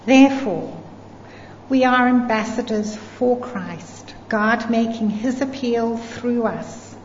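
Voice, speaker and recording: Slow, careful woman reading scripture. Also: on the high side, slight background noise. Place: church hall. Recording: echoey hall, dull sound, faint ambience.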